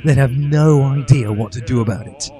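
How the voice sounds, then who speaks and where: A man's voice: medium pitch, moderate pace, warm tone, soft background music, lightly acted. A man voicing an angry, self-righteous revolutionary teenage boy with an indignant, sarcastic, ranting delivery.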